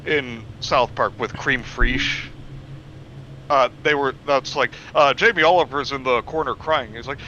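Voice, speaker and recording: Guy joking around, swingy bright voice, muffled mic w background noise. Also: mid-range voice, measured pace. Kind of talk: casual banter.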